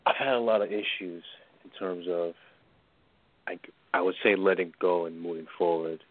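A man in his twenties from New York, calling in to a radio show and talking hesitantly and haltingly. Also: low voice, dry recording. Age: 20s. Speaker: caller. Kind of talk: call-in radio conversation. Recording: tinny phone line.

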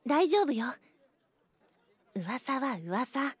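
A woman's voice: high-pitched, very animated, very slow, breathy edge, no echo, full character voice. A woman playing a mysterious, frail schoolgirl with a delicate voice in an audio drama. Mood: calm, enigmatic. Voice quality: delicate, fragile voice. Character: mysterious frail pale schoolgirl, calmly reassuring.

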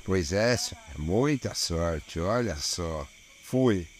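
A man's voice: low-pitched, expressive, slow, slight background noise. A man playing a weary, dogged small-town policeman in an audio drama, colloquial and grumbling, with a Brazilian accent. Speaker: voice actor.

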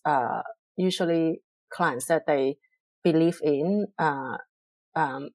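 Low-voiced middle-aged woman, reflective. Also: a little mellow, no echo. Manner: measured, reflective, frequent ums and uhs. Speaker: public relations professional, guest. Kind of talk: conversational interview answer.

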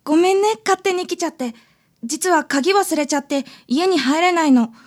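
A woman's voice: very high voice, moderate pace. A woman playing a shy, polite schoolgirl in a radio drama. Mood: shy, apologetic.

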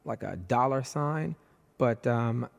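Hoarse, gravelly man hosting a podcast. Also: mid-range voice, takes it slowly, long reverb tail. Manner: animated, voice jumps up when excited. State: hoarse, voice nearly gone. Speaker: podcast host. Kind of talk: casual conversation.

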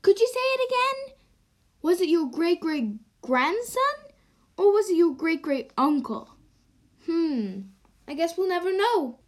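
Girlish woman as high-pitched, cocky fairy-tale hero. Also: very animated, slow pace, full character voice. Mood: smug, mocking. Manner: teasing, boastful. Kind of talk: amateur radio drama acting.

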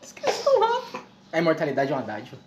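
A woman's voice: low-pitched, huge pitch swings, rapid-fire. Joking Brazilian woman, noisy echoey room. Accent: Brazilian Portuguese. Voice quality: joking, blunt, swearing. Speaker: player. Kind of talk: casual tabletop play. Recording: echoey room with clear noise.

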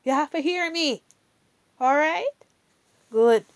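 Slow, earnest woman with a Jamaican lilt. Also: high voice. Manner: earnest, emphatic, repeats key phrases; chatty, adds "you know" and "all right". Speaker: faith encouragement speaker. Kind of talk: casual motivational faith talk.

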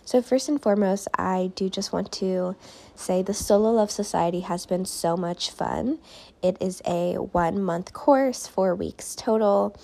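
A woman's voice: mid-range voice, creaky, darkish tone. A young woman in her twenties hosting a casual solo podcast, chatty and upbeat, talking straight to her listeners while losing her voice. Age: 20s. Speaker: podcast host and life coach.

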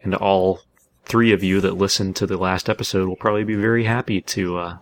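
Low-voiced man hosting, with gravelly fry. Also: steady tone, brisk. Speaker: podcast host. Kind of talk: casual podcast chat.

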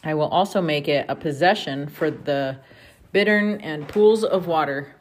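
A woman reading scripture aloud at home with family, in a steady, careful way. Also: low voice, measured pace. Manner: steady, careful read-aloud pace with brief self-corrections.

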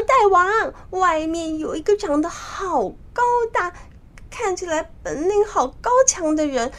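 A woman voicing a small, excitable monkey guard in a children's story, calling out loudly and urgently. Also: very high-pitched, pitched above the performer's own voice.

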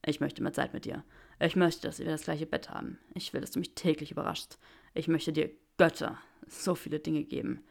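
Give me a woman playing an earnest, stammering young male wizard with a deep, gravelly voice, read as an audiobook. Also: fairly even intonation, normal speed, exaggerated voice. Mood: tender. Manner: stammering, earnest, self-mocking.

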